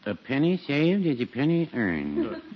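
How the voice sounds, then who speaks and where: An actor playing a mild, hesitant, folksy older small-town druggist in a 1940s radio comedy. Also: male voice, mid-range voice, wildly swooping pitch, measured pace. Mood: musing, folksy. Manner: hesitant, contradicts himself, mild. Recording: noisy, dull 1940s radio recording.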